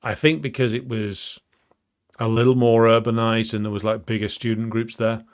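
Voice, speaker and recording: Halting man hosting a live chat. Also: fairly low pitch, level tone, smooth. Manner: halting, with many ums and uhs. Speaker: livestream host. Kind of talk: casual live chat, reading out viewer comments.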